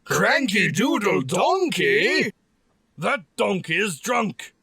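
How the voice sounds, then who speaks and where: Imperious pony opera manager male actor, high and sharp with a creaky edge, formal audio drama style. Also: very animated, slow, dry recording, studio quality, heavily acted. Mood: scornful.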